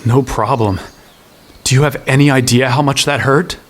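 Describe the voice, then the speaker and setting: An actor playing an impulsive, good-natured young hero in an audio drama, quick with baffled wisecracks. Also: male voice, medium pitch, slight background noise, close to an everyday voice. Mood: baffled, joking. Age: young adult. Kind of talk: audio drama acting and first-person story narration.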